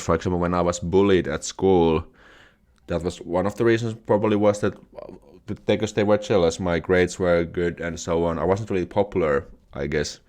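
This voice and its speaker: A man's voice: deep voice, darkish tone. A young man from Finland with a Finnish accent, chatting on a live stream as host, reading comments aloud, laughing things off and restarting words often. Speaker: YouTube host.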